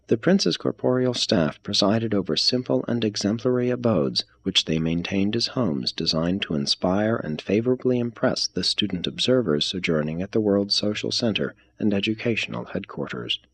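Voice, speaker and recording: A man reading a religious text aloud as scripted narration, in a measured, formal manner. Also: fairly low pitch, vocal fry, breathy edge. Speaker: reader.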